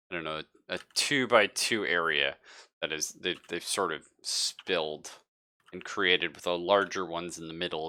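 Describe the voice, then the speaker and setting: A man running a tabletop horror roleplaying game, narrating scenes off the cuff in a casual, halting way. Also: sits low, pushed and bright. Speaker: game master.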